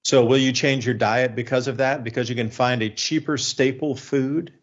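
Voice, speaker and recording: A man hosts a talk-radio show, speaking off the cuff in an informal way. His voice sits at an average pitch, and the audio is a little dull and lo-fi. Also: steady tone, moderate pace.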